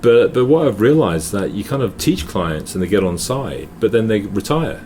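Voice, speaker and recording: A man's voice: on the low side, animated, moderate pace, dark tone. A middle-aged Englishman with an English accent, a guest in casual chat, telling stories with frequent restarts in a noisy hotel. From England. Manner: storytelling, restarts with repeated words.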